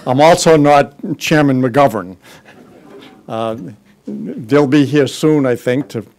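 A man's voice: mid-range voice, takes it slowly, a little mellow, slight background noise. An older man with a broad Boston accent talks from a podium microphone in a big conference hall. He keeps it loose and joking, stumbling into restarts and fillers as he introduces the next speakers. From Boston area, US. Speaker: board member introducing speakers. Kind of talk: informal podium speech. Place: large conference hall, indoors.

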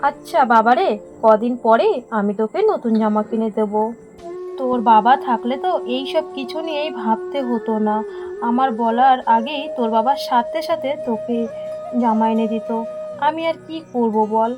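A woman's voice: on the high side, moderate pace, muffled recording, music in the background, natural voice. A woman voicing a tender, long-suffering poor village mother in a cartoon story, pleading and affectionate. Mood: sorrowful loving. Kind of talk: cartoon voice acting.

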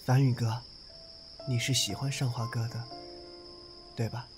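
A man playing an earnest, selfless young man in an audio drama, speaking softly and sincerely. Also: mid-range voice, level tone, a little slow, music in the background, natural voice. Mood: gently probing.

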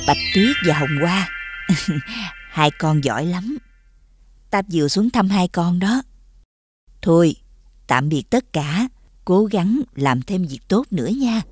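A woman voicing a calm, benevolent fairy godmother in a cartoon, speaking low and slowly. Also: husky, no room sound, faint music behind, full character voice.

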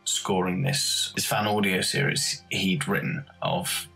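Low-voiced man reminiscing casually over music. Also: a little slow, gravelly, bright, forceful tone. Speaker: music composer interviewed. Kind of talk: casual behind-the-scenes reminiscence.

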